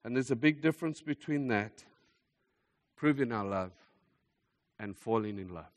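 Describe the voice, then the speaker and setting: A man's voice: mid-range voice, animated, moderate pace. Man preaching in a reverberant hall. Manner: emphatic, repeats phrases for effect, swings between hushed and forceful delivery. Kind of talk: sermon. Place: large hall, speaking to a congregation. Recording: echoey hall with long reverb.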